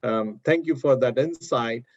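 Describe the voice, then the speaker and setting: A man with a mid-pitched voice hosts a business webinar interview. He speaks in an agreeable, summarising way, hesitating often with fillers. The sound is dull and lo-fi. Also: unhurried. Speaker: webinar host. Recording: dull, lo-fi audio.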